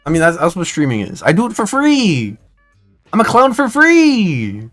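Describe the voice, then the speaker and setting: Excitable rambling young man streamer. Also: high voice, moderate pace, faint music behind. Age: 20s. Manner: rambling, excitable, lots of restarts and fillers. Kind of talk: casual stream commentary.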